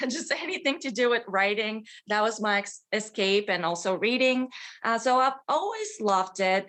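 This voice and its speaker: A middle-aged woman from Bulgaria, whose first language is Bulgarian, talks about her children's books as an interview guest. She sounds eager and laughs often, and her voice sits at a medium pitch. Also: fairly bright tone. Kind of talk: interview answers, storytelling.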